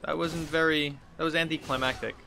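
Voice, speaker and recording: A man's voice: on the high side, steady tone, brisk, gravelly, noisy background. A man in his thirties giving casual video game commentary as a streamer, thinking aloud in an informal way. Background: game music.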